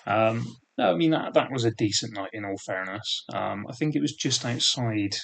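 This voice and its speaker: A man's voice: fairly low pitch, lively intonation, moderate pace, gravelly, a bit bright, slightly rough. A man in his thirties with a thick regional British accent, casually reminiscing in a rambling way. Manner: rambling, full of "sort of" and "um" fillers. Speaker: vlogger.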